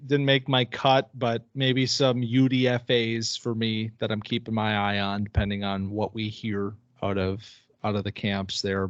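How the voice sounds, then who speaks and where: A man's voice: mid-range voice, fairly bright tone, no room sound, lo-fi sound. Chatty male podcast co-host, dry audio. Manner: chatty, upbeat, restarts phrases. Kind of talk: casual podcast chat.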